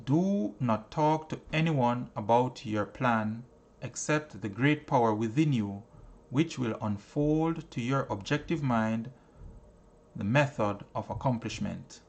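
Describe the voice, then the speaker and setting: A man narrating a self-help audiobook in a measured, earnest manner, with deliberate phrasing and frequent pauses. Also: mid-range voice, darkish tone.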